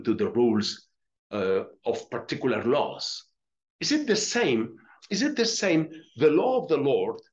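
A middle-aged man from Colombia with a Spanish accent, a friar teaching a religious lesson, reading aloud and explaining deliberately, repeating phrases for emphasis. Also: mid-range voice, muffled recording. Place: small indoor room with curtains.

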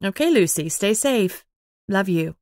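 A woman's voice: mid-range voice, animated, unhurried, darkish tone, no echo, studio quality. A woman voicing a protective middle-aged mother in an audiobook, matter-of-fact and fussing. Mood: playfully guilt-tripping.

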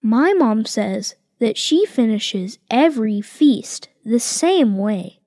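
A woman reads a picture book aloud to young children, slowly, with a lively, sing-song lilt. Her voice sits a little high and the recording is close and dry. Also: dry recording. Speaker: reader voicing tiger cubs.

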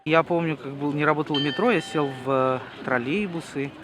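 A man, a journalist from Russia, giving a slow, thoughtful outdoor interview with hesitant pauses. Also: fairly high pitch, over music. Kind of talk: reflective street interview. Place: outdoor city square at a memorial gathering. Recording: outdoor crowd noise behind.

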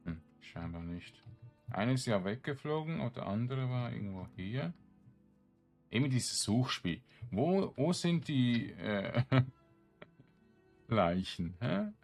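A man giving casual gameplay commentary, musing aloud to himself in short, halting remarks. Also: mid-range voice, sing-song, creaky, a little mellow, soft background music. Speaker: gamer, let's play host.

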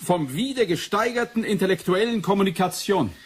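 A man's voice: sits high, expressive, brisk, breathy edge. An actor playing an eager, insistent male investigator who muses and explains in a radio play. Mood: excited, certain. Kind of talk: 1980s German radio play acting.

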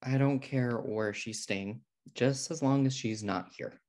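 A man's voice: mid-range voice, measured pace, darkish tone, lightly acted. A man playing an uptight, exasperated twin brother, indignant and earnest, in a sitcom table read on a video call. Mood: annoyed. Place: indoor room at home on video call.

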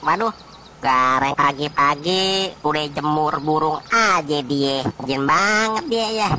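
Comic cartoon villager played by a man: excitable freeloader, slow-paced grumbler, birdsong and clear noise behind. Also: fairly high pitch, exaggerated voice. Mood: musing.

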